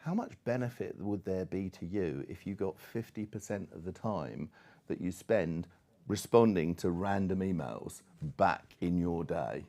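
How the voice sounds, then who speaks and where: A man speaking persuasively on a panel, in a measured way with formal wording. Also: deep voice, dark tone.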